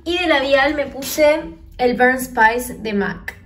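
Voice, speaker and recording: A woman's voice: sits high, takes it slowly, a bit bright. A woman in her twenties with an Argentine accent, chatting casually and effusively to a phone camera as a vlogger. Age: 20s. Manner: effusive, gushing. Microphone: phone at arm's length.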